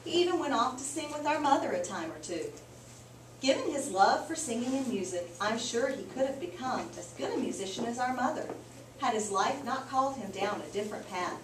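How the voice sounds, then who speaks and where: A woman reading a picture book aloud to children like a teacher, with expressive, emphatic storytelling. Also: a little high, fairly quick. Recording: roomy, distant mic, clearly noisy background.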